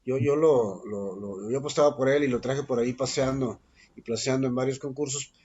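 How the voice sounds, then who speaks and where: A man's voice: mid-range voice, fairly even intonation, moderate pace, darkish tone, breathy edge. Thoughtful hesitant man, dull recording. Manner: thoughtful, hesitant, many fillers and restarts. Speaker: guest poet. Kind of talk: reflective interview answers.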